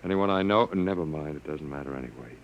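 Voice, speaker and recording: A man's voice: very deep voice, quick pace. An actor playing a wry, resigned man held captive in a 1950s radio drama, sardonic and at times hesitant. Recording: dull vintage radio recording.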